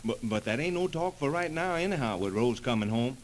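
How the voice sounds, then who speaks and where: A man's voice: mid-range voice, expressive, fairly quick, lightly acted. An actor playing an easygoing, hearty cowboy ranch foreman with an in an old radio drama. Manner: folksy, hearty. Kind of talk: 1930s radio western acting. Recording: old radio recording with crowd murmur.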